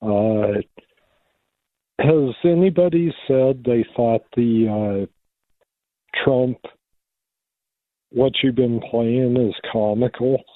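A man's voice: medium pitch, drawn-out words, pauses often, no room sound. A man calling a radio show as a fan, chatting informally and effusively over the phone. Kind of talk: casual chat. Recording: tinny, narrow phone line.

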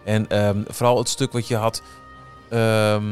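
A man co-hosting a casual podcast, talking haltingly and repeating words. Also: fairly low pitch, flat delivery, darkish tone. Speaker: podcast co-host. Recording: background music under the talk. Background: ambient theme-park music.

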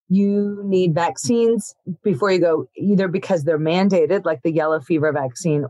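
A woman physician, born in Ethiopia and raised in Africa, explaining vaccines in an interview in a steady, explanatory way with frequent "you know". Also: fairly low pitch, no room sound.

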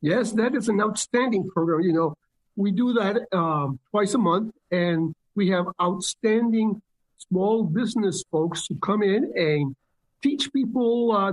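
A woman's voice: mid-range voice, darkish tone, breathy edge, rough recording. A woman guest on a radio show, describing a program in an upbeat way, with frequent fillers. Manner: upbeat, promotional, pausing with fillers. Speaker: guest, business mentoring program member. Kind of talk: casual radio interview.

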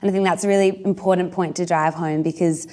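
Encouraging woman podcast host, low voice. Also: fairly even intonation, a little mellow. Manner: measured, encouraging, affirming interjections. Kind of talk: podcast interview.

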